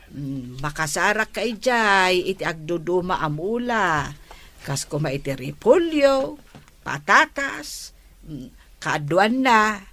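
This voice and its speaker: An actress with an Ilocano Filipino accent playing an unhurried village woman in a radio comedy drama, drawing out her words. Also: fairly low pitch, fairly bright tone, exaggerated voice.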